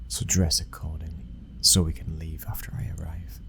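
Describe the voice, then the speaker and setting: A British man playing a commanding young mafia heir in an intimate ASMR roleplay, speaking in a measured, breathy voice that often drops toward a whisper. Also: a bass voice, completely flat delivery, background noise. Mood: teasing, controlled. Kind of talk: ASMR boyfriend roleplay, scripted acting.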